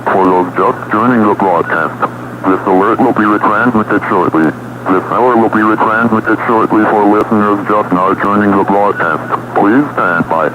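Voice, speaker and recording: A man playing an impersonal official weather radio announcer, reading a scripted emergency broadcast in a formal, flat delivery. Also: lowish voice, moderate pace, natural voice. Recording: dull, very noisy radio broadcast.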